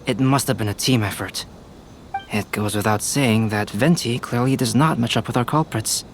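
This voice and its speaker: A man's voice: medium pitch, soft background music, lightly acted. Man playing a composed, scholarly young analyst. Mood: analytical. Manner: calm, deliberate, precise. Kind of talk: game dialogue.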